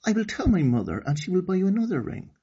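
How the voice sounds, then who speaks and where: Irish man as a high-pitched earnest little storybook girl. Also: sing-song, quick pace, warm tone, husky, full character voice. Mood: apologetic. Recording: slightly dull lo-fi recording.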